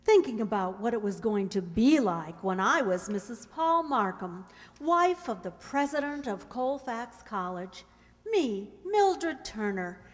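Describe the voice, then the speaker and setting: Woman acting brassy slangy dame, swooping voice. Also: a little high. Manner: slangy, emphatic, dramatic. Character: brassy scheming waitress, discarded mistress with grit. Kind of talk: radio mystery drama acting. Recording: reverberant hall stage recording.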